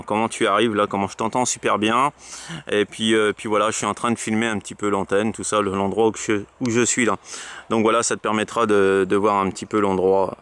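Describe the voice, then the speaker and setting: A man's voice: fairly low pitch, a bit bright. A man chatting casually as a radio operator, in a hesitant, repetitive way. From France. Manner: hesitant, repetitive verbal tics. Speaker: amateur radio operator filming his video. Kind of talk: casual radio contact chat. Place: outdoors on open high ground.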